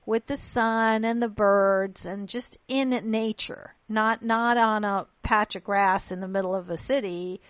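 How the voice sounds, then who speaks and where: A woman's voice: mid-range voice, steady tone, a little slow, hardly any pauses, no room sound, muffled recording. A woman born in Pakistan hosting a radio talk show, speaking earnestly in casual chat and often restarting her sentences.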